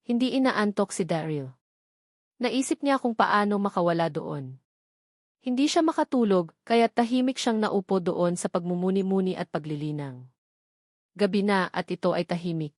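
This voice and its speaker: Woman, medium pitch, scripted novel narrator, dry close sound. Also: lively intonation, moderate pace, no echo. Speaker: audiobook narrator.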